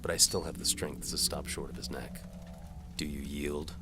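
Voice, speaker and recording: A man acting a very deep, deadpan sword-for-hire. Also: moderate pace, over music. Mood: weary. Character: world-weary, wry medieval sword-for-hire narrating his adventure. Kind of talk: first-person hardboiled audio drama narration.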